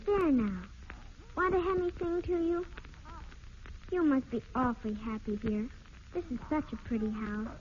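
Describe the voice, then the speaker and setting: A woman's voice: fairly high pitch, a little mellow. A woman playing a sweet, earnest young girl in an old-time radio drama, polite and wide-eyed. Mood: tender, soothing. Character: sweet, earnest young orphan girl, tender big sister. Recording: dull, noisy old radio recording.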